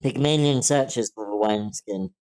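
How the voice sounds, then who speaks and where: A man's voice: lowish voice, moderate pace, a little mellow. A man reading stage directions as scripted narration at a table read.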